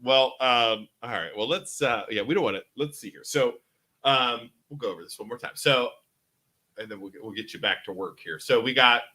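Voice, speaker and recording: A man hosting a casual podcast, rambling and excitable as he talks. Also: mid-range voice, fairly quick. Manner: rambling, excitable, laughs often. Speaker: podcast host.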